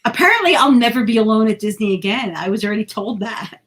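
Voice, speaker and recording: A woman's voice: fairly high pitch, expressive, fast, fairly bright tone. A woman co-hosting a podcast in casual chat, talkative and self-deprecating, sometimes tripping over her words. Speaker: podcast co-host.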